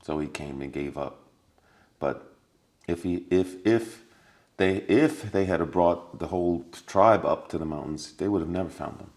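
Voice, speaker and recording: A man's voice: sits low, measured pace, darkish tone. A man talking as a podcast guest, telling stories earnestly with many restarts and repetitions. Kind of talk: casual conversation.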